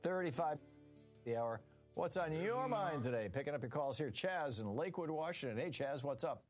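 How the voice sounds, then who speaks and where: A man's voice: on the high side, normal speed, soft background music. A man hosting a talk radio call-in show, taking calls and reading items aloud with emphasis. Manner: lively, reads lists aloud with emphasis.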